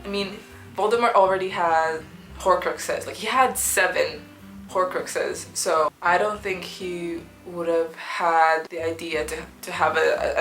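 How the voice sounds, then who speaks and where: Young woman vlogger, sore-throated, rambling casual chat, slightly low pitch, roomy home recording. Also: bright, forceful tone. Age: young adult. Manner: chatty, rambling with many fillers and restarts. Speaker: book reviewer vlogger. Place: small student apartment room.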